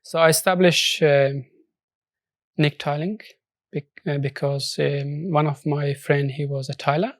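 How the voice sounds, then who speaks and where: A man's voice: a little high, fairly even intonation, no room sound. Earnest man from Afghanistan, dry studio sound. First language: Persian. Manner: earnest, halting non-native English with restarts. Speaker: social enterprise founder. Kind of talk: studio interview.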